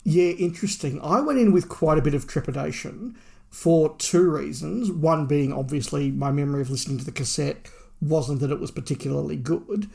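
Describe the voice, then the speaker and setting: A middle-aged man speaks with a fairly high voice and a lot of creak. He is an informal podcast co-host, talking through a review in a conversational way. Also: normal speed, mellow. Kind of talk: casual review chat.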